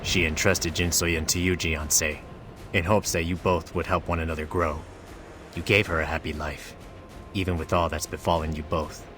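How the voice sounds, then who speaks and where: An actor playing a solemn mystic mentor in a fantasy video game, speaking in a measured, formal way. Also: male voice, deep voice, little pitch movement, natural voice. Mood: solemn, grave. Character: solemn male mystic mentor guiding a warrior. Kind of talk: scripted game dialogue. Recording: loud music behind. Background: game music.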